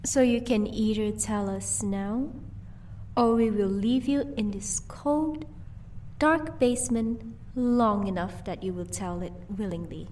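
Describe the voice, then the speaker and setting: A woman playing a vampire's playful, possessive wife in an audio roleplay, teasing and doting with quiet menace. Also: medium pitch, takes it slowly, recorded from a distance, slight background noise, close to an everyday voice. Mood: cool threat.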